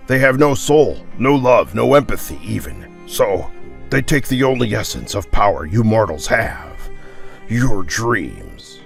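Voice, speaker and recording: A man's voice: fairly low pitch, creaky, a bit bright, heavily acted. A man voicing a serene ghost sage with a grave, philosophical way of speaking in an audio story. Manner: grave, aphoristic.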